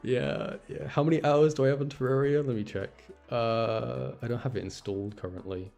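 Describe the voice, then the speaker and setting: A man's voice: medium pitch, moderate pace, vocal fry, darkish tone, soft background music. A young man doing a casual gaming livestream, rambling and thinking aloud as he goes. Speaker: game streamer. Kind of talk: casual gaming stream chat.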